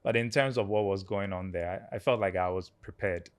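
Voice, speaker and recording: Low-voiced Ghanaian man recounting his story. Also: brisk, clean tone. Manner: thoughtful, with fillers and restarts. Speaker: startup founder, guest. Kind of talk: casual interview chat.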